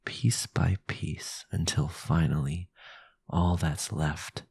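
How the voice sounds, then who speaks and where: A man playing a powerful, sinister vampire lord in an ASMR roleplay, possessive, silky and condescending, with a low, gravelly, breathy voice. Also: fairly even intonation, a little mellow, no echo, full character voice. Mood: menacing, seductive.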